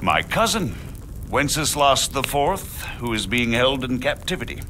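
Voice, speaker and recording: An actor playing a middle-aged margrave in a video game, speaking formally and deliberately like a measured schemer. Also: male voice, mid-range voice, sing-song, sharp tone, a little hiss or hum. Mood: measured, stately. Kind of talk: video game cutscene acting.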